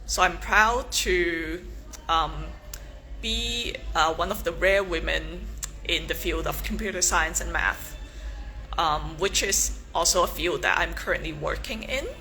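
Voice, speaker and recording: A young woman from Hong Kong, a native Cantonese speaker, introduces herself on a casual livestream, slowly and with many ums and restarts. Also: fairly low pitch, bright, forceful tone, faint music behind, low-quality recording. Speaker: pageant contestant.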